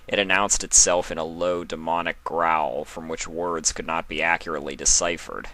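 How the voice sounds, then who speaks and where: A man reading fan fiction aloud as narrator, in an expressive storytelling style. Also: sits low, normal speed, dry recording.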